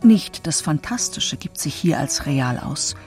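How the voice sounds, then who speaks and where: A woman reads scripted narration for an arts radio feature. She is formal and measured, with faint music playing underneath. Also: sits low, lively intonation, darkish tone. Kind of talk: scripted literary narration, reading quotations.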